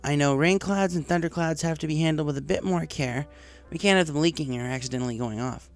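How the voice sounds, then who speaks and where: A man's voice: on the high side, fast talker, soft background music, pitched above the performer's own voice, close to an everyday voice. A man voicing an eager, cocky young female pegasus pony in a story reading, with an informal, brash way of talking. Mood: confident.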